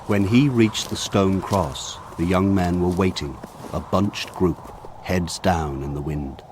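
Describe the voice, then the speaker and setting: An actor playing a reflective narrator who recalls village childhood, telling it in measured literary narration for a radio drama. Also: male voice, deep voice. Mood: grave. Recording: clearly noisy background.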